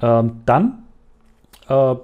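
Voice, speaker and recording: A man's voice: mid-range voice, very animated, drawn-out words, phone-quality sound. A man hosting a casual gaming podcast, quizzing his co-hosts and often searching for words. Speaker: podcast host.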